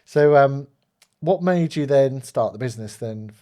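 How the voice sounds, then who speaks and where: Male host, ex-broker, easy questioning tone at a medium pitch. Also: expressive, a little slow, dark tone. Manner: prompting questions, frequent yeahs. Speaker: interview host, former mortgage broker. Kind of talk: casual interview hosting.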